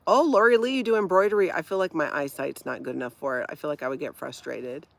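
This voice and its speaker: A woman's voice: low voice, fast, creaky. A middle-aged woman from Hawaii with a light Minnesota accent, casually hosting a livestream and reacting to viewer comments with frequent laughter. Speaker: livestream host reading chat. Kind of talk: casual live-chat talk.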